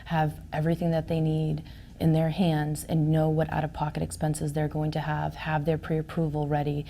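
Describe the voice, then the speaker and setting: A woman's voice: low voice, completely flat delivery, normal speed, vocal fry, warm tone, slight background noise. A woman in her forties whose first language is Portuguese, talking as a real estate agent in an interview, upbeat and emphatic. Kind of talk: interview conversation.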